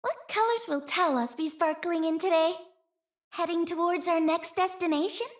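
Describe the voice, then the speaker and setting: A woman voicing a cheerful, romantic young female courier in a video game, with a playful, airy and sweetly expressive manner. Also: very high voice, heavily acted. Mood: bright, curious. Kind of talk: game character voice lines.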